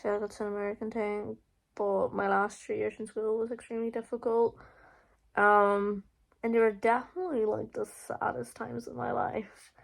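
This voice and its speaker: A woman's voice: on the high side, flat delivery, moderate pace, gravelly, rough recording. A young adult woman with an Irish accent, chatting casually through a get-to-know-me Q&A, full of "like" and "um" fillers and blunt swearing. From Ireland. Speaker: vlogger. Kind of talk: casual Q&A vlog.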